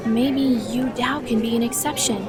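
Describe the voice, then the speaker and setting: A woman playing a caring, sensible teenage girl in an animated comic dub, earnest and firm. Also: fairly high pitch, moderate pace, over music, crowd noise, lightly acted. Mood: caring, reasonable.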